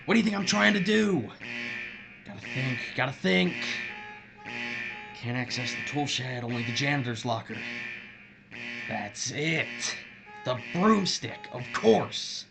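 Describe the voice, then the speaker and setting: A man playing a desperate, vengeful missile officer in an audio drama, gravelly and theatrical, talking to himself. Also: medium pitch, lively intonation, measured pace, long pauses, music in the background. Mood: frantic muttering. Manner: frantic, muttering, theatrical.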